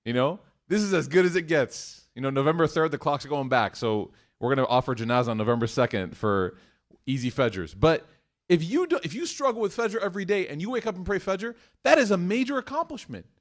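A man giving a live sermon to a room of listeners, chatty and joking, moving between loud emphatic bursts and hushed points. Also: a little high, animated, fairly quick. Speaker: mosque speaker. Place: room with an audience.

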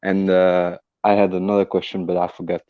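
A man's voice: deep voice, completely flat delivery, fairly quick, no room sound, muffled recording. A man from Belgium, calling in with a question and hesitating often as he searches for words. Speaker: caller.

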